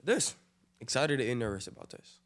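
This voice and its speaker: A man's voice: mid-range voice, big pitch swings, normal speed. An eighteen-year-old man talking earnestly and casually with his mother as a podcast co-host. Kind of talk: casual family chat.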